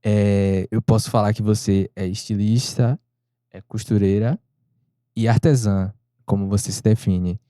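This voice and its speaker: A man co-hosts a podcast and asks his guest questions in an easygoing, slightly hesitant way. His voice is mellow and dark-toned, recorded close with no room sound. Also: on the low side, fairly even intonation, a little slow, dry recording. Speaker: podcast co-host. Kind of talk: casual interview questions.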